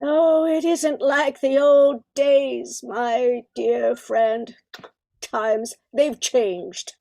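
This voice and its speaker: A high, slow-spoken female Christmas carol personified, acted by a woman in old-time radio comedy style. She is prim and wistful. She frets and laments the old days in a genteel manner. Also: fairly even intonation, creaky, mellow, heavily acted.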